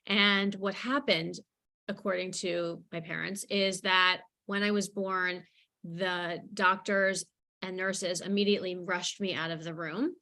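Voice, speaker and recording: A woman's voice: fairly low pitch, steady tone, a little slow, sharp tone. A woman guest telling her personal story in a reflective way, leaning on key words. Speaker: guest speaker, author.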